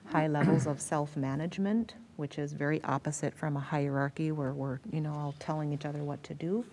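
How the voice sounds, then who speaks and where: A woman's voice: low-pitched, fairly even intonation, fairly quick, darkish tone, slight background noise. An American woman, a school district superintendent, explaining things in a meeting presentation and repeating words for emphasis. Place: school board meeting room.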